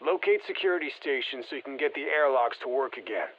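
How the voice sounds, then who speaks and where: An actor playing an easygoing special forces operator in a video game, giving colloquial, wry mission instructions over a radio. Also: male voice, medium pitch, expressive, fast, dull audio. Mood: wry, focused. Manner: colloquial, wry, plain-spoken.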